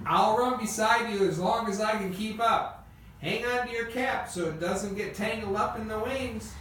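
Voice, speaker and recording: A woman's voice: medium pitch, moderate pace, fairly bright tone, lightly acted. A woman playing a practical, encouraging male inventor in an amateur family radio play, with earnest, declaimed lines. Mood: urgent, encouraging. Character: Wilbur Wright, practical, encouraging brother and inventor. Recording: echoey room, distant mic, noisy hiss.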